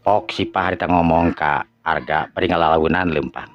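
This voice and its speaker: Low male Sundanese storyteller, slow and drawn out, lo-fi audio. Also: fairly even intonation. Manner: slow drawn-out narration with stumbles. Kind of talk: Sundanese folk tale narration. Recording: dull lo-fi audio with faint music.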